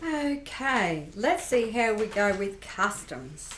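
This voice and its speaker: A woman's voice: mid-range voice, big pitch swings, slow, fairly bright tone, a little hiss or hum. A woman playing a curious, upbeat young space traveller in an amateur radio play. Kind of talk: amateur radio drama acting. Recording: roomy echo.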